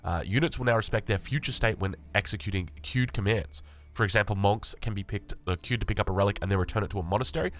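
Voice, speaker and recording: A young Australian man with a raspy voice, sick and just woken up, chatting casually as he reads through game patch notes. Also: lowish voice, fast talker, a bit bright. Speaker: gaming channel host.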